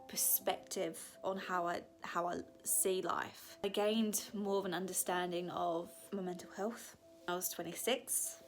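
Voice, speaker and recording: A woman in her thirties giving a candid, chatty reflective vlog, sometimes breaking into excited laughter. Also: medium pitch. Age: 30s. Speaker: vlogger.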